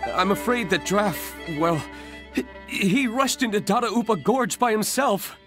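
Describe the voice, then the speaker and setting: High-pitched, anxious young hunter. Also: male voice, a bit bright. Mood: sheepish, worried. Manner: hesitant, earnest, halting. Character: anxious, apologetic young male village hunter. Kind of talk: scripted video game dialogue. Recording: music behind. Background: background game music.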